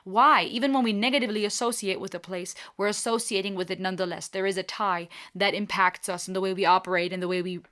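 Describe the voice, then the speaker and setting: A bubbly, talkative woman from Germany hosts a reaction video. She muses aloud in reflective commentary at an ordinary middle pitch, chatty and warm-spirited in her thinking out loud. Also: steady tone, fast, few pauses.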